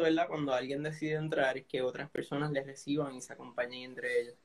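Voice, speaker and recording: A man talking earnestly about supporting people living with HIV, reflective, often adding "¿verdad?" as he talks. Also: medium pitch. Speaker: HIV peer support advocate. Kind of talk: casual panel conversation.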